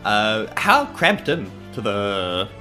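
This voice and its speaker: Woman player, bright voice, lively swooping intonation, playful joking asides, light music behind. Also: extremely low pitch, takes it slowly. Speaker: player in a tabletop game podcast. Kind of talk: casual improvised banter.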